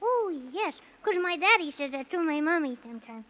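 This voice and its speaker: A woman's voice: sits high, lively intonation, a little fast. A woman playing a polite, wide-eyed six-year-old boy in a 1930s children's radio serial. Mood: curious. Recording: muffled, noisy vintage 1930s radio recording.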